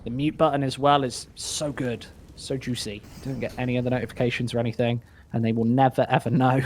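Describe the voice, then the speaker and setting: A man's voice: medium pitch, flat delivery, fast, slight background noise. Give me a wry, joking male esports caster calling the action live. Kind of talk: live play-by-play commentary.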